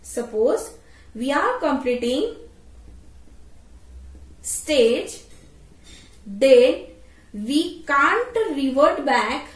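Slow, high-pitched woman teaching. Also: very animated. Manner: repeats phrases, adds checking tags. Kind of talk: explanatory tutorial lecture. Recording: roomy echo, distant microphone.

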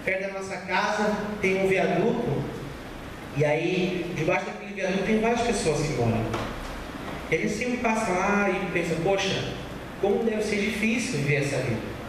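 A man's voice: high voice, animated, normal speed. A man in his thirties with a São Paulo Brazilian accent, preaching an earnest, exhorting church sermon, repeating phrases for emphasis. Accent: Brazilian, São Paulo state. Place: church stage at a pulpit, indoors. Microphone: handheld mic through room speakers, far camera. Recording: echoey noisy hall, recorded from far off.

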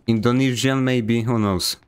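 A man's voice: medium pitch, fairly even intonation, unhurried, smooth. A young man from Poland with a Polish accent, giving joking, sarcastic commentary while streaming a game. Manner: joking, sarcastic, mock-indignant. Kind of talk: casual gameplay commentary.

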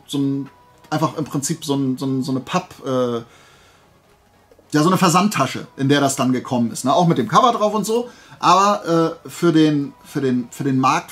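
A man's voice: a little high, fairly bright tone. A man hosting a casual YouTube haul vlog, talking informally in a rambling way as he thinks aloud. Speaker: YouTube host.

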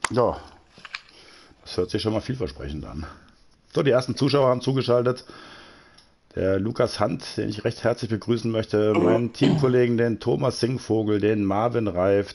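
A man's voice: fairly low pitch, moderate pace, long pauses, darkish tone. A man commentating a live sim racing broadcast, chatty and joking as he reads out standings and greets viewers. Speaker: race commentator.

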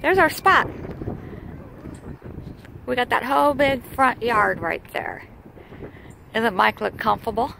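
A woman casually narrating a camping trip outdoors, chatty and quick to laugh, filming on a phone. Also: on the high side, animated, creaky, rough recording. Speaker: vlogger. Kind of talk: casual travel vlog narration. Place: outdoors at a windy campground and beach. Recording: loud wind on the mic.

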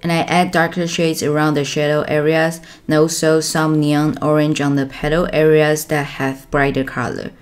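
A young woman calmly explaining step by step how she colors a drawing, as the host of an art tutorial. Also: low voice, moderate pace, few pauses, clear, resonant tone, soft background music. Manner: calm, instructive.

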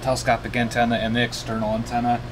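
A man's voice: medium pitch, fast. A tired man giving a casual, hesitant, rambling hands-on demonstration as a radio hobbyist, thinking aloud in a small room. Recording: noisy room, steady background hiss.

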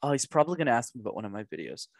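Lively young man on a headset mic. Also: mid-range voice, rapid-fire, gravelly, no echo, clean studio sound. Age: young adult. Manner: animated, gestures a lot, drives points home with repetition. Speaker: podcast guest, communication coach. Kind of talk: energetic coaching talk. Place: small room at home.

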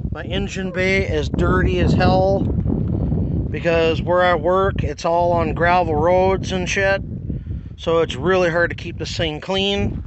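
A man's voice: high-pitched, muffled recording. A man giving a casual, informal walkthrough as a car audio hobbyist, self-deprecating and a little coarse, recorded on a handheld phone. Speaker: car audio hobbyist showing his system. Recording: noisy phone recording around vehicle.

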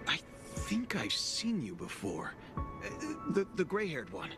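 An actor playing a principled, stern security guard in a video game, formal and firm. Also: male voice, mid-range voice, huge pitch swings, unhurried. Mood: wary, indignant. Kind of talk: scripted video game dialogue. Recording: game music behind dialogue.

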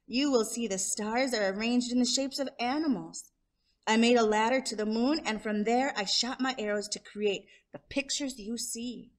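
A woman's voice: on the high side, normal speed, natural voice, poor audio. A woman voicing a clever, proud, friendly male coyote, declaiming in a children's storybook read-aloud.

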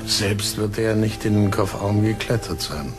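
An actor playing a calm, caring male detective in a German crime radio drama. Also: lowish voice, moderate pace, over music, natural voice.

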